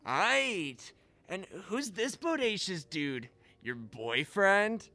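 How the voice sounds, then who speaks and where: A man's voice: high voice, very animated, drawn-out words, bright, forceful tone, full character voice. A man playing a laid-back young stoner video-store clerk who drawls in slacker slang in an audio drama. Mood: laid-back, cheeky.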